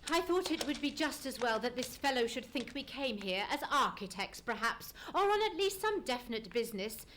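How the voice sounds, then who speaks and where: A woman playing a shrewd, assured gentleman detective, formal and precise, in a live radio play. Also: on the high side, very animated, moderate pace, slight background noise. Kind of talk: live old-time radio play acting.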